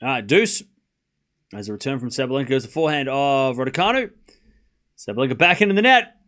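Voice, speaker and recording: Male, mild Australian accent, mid-pitched voice, sports watchalong host, conversational, repeats phrases for emphasis. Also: lively intonation, fast, a bit bright. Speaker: livestream watchalong host and commentator. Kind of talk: live tennis commentary mixed with casual chat reading viewer comments.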